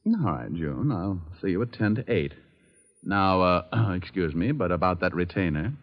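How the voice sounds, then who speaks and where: Low-voiced wisecracking radio private eye. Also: male voice, lively intonation, normal speed. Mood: flirty, teasing. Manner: breezy, sardonic, slangy delivery. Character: wisecracking flirtatious hard-boiled male private detective. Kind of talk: 1940s-50s radio drama acting and narration. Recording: muffled old radio recording, music behind.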